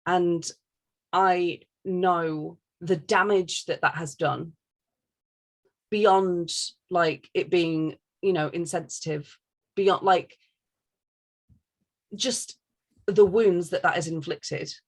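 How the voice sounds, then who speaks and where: A woman's voice: fairly low pitch, fairly even intonation, slow, long pauses. A woman talking casually and candidly, giving her opinions emphatically and swearing freely.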